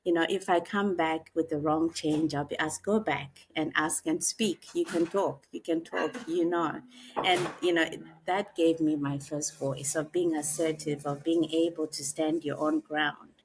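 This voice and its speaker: A woman, a podcast guest telling her life story in a reflective, unhurried way with frequent pauses. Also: deep voice, steady tone, a little hiss or hum, low-quality recording. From Zimbabwe. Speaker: guest, author and executive coach. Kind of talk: podcast interview, personal storytelling.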